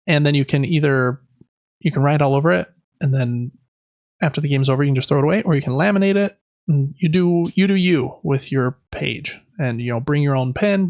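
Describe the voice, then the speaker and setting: A man's voice: a little high, no echo, muffled recording. A man hosting a casual board game podcast, talking in an informal, conversational, meandering way. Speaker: podcast host.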